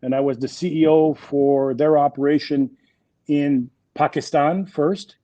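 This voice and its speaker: French Canadian executive, 60s, mellow dry voice, lo-fi sound, halting with fillers. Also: male voice, on the high side, steady tone, dry recording. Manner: hesitant, many fillers and restarts. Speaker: guest executive. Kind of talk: interview conversation.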